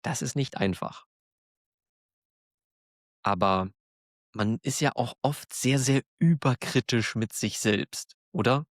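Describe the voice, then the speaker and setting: A man with a German accent, hosting a podcast for learners, talking slowly and clearly in a warm, encouraging way and laughing now and then. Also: mid-range voice, dry recording, studio quality. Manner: encouraging, self-deprecating, laughs often. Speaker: German teacher, podcast host. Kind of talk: slow, clear monologue for learners.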